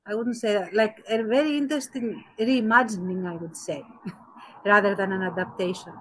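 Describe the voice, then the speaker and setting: A woman's voice: mid-range voice, normal speed, poor audio. Woman thinking aloud in casual discussion. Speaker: podcast co-host. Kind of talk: casual discussion, giving opinions.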